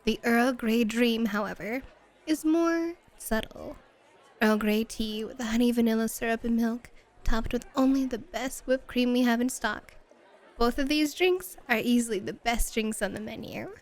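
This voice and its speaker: Casting: actress for flirty female club hostess in roleplay audio, higher-pitched, coy and teasing, drawn-out delivery. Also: lightly acted. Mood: sultry, inviting. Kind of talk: flirty ASMR roleplay acting.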